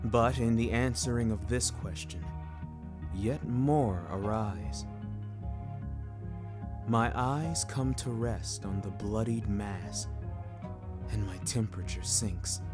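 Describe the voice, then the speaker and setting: A man narrates a scripted story in the first person. His voice sits on the low side and moves slowly, with ornate, deliberate phrasing. Music plays clearly behind him. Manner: deliberate, ornate, measured delivery. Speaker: narrator of a serial audio story.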